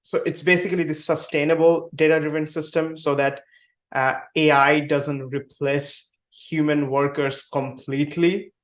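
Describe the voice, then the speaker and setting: A man hosting a podcast interview in casual talk, with lots of "uh" fillers and quick bursts when excited. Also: on the high side. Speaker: podcast host.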